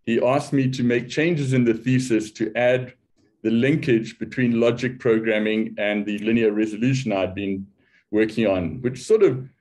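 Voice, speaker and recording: A man's voice: medium pitch, fairly even intonation, moderate pace, a little mellow. An older man with a South African accent giving a conference talk in a loose, conversational way, with wry, self-deprecating humor. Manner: loose, conversational delivery with restarts. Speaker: academic speaker.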